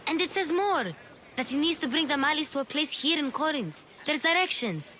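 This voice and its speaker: A woman's voice: high voice, animated, normal speed, bright, forceful tone, a little hiss or hum. A plucky young girl thief. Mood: curious. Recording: game cutscene with faint music. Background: music.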